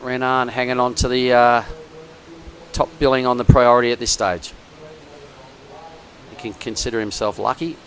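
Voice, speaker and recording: A man giving chatty, excitable live commentary on a surf contest. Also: medium pitch, moderate pace, long pauses, rough recording.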